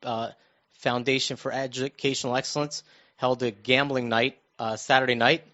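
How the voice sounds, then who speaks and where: A middle-aged American man telling stories casually on a talk show, halting and restarting his phrases as he goes. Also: medium pitch, little pitch movement, sharp tone. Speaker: radio show panelist recounting his own news. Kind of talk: casual conversational storytelling. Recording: dull, lo-fi muffled sound.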